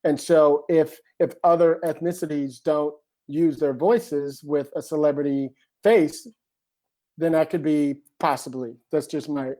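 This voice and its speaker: Lively higher-voiced man chatting. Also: unhurried. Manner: animated, keeps saying "you know what I mean". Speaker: screenwriter guest. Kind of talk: casual conversation.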